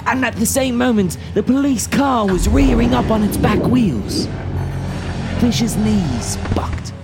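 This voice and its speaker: Male audiobook reader, expressive and theatrical, very animated delivery, scored with music. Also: high voice. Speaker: audiobook narrator. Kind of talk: dramatic scripted story narration.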